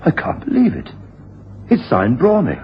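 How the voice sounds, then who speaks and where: An actor playing a mild, easily shaken gentleman husband, genteel and hesitant, in a radio drama. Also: on the high side, huge pitch swings, normal speed. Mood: puzzled, shaken. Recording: dull, faintly noisy 1980s radio broadcast.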